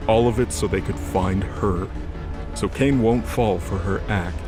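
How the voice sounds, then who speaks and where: A man with a low voice narrates a scripted, dramatic story as an audio drama. Music plays prominently underneath him. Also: measured pace.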